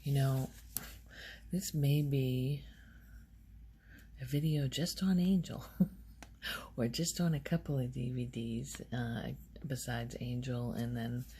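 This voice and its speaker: A soft-spoken woman rambling in a halting, unhurried ASMR style, her quiet voice often close to a whisper. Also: creaky, slight background noise. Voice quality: soft, low-volume voice, often near whisper. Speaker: ASMR video host.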